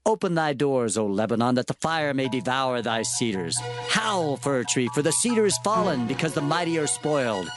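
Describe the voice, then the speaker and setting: A solemn prophet played by a man proclaims oracles in a higher male voice. The delivery is declamatory and archaic, as in a dramatized scripture recording, with music clearly playing behind. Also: expressive, normal speed, hardly any pauses, close to an everyday voice. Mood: grave, forceful.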